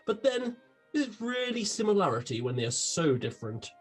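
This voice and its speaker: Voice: medium pitch, sing-song, a little fast, husky, faint music behind. A performer playing an eccentric, gluttonous, unhinged detective in a comic radio play, grandiloquent, with a British accent. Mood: pompous, rambling. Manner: grandiloquent, theatrical.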